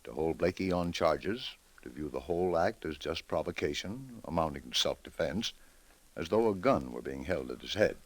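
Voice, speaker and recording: A grave judge in a 1940s radio play. His voice is low and widely swinging, with formal, deliberate phrasing that gives every ruling weight. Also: lively intonation, husky, heavily acted. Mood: grave, deliberating. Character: solemn, measured judge presiding from the bench. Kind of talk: 1940s radio drama acting.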